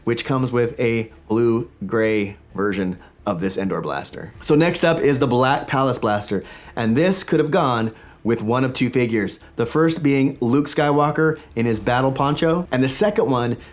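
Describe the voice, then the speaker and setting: A man in his forties with a slightly raspy voice, still recovering from illness, hosting a toy collecting vlog from a small home studio in an enthusiastic, chatty way. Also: mid-range voice, moderate pace. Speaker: toy collecting channel host. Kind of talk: casual vlog talk to camera.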